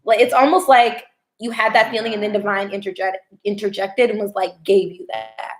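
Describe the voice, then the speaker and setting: A young woman hosting a live stream, chatting casually and reading tarot cards, her voice going hoarse. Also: mid-range voice, moderate pace, faint music behind. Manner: chatty, laughs often, swears casually.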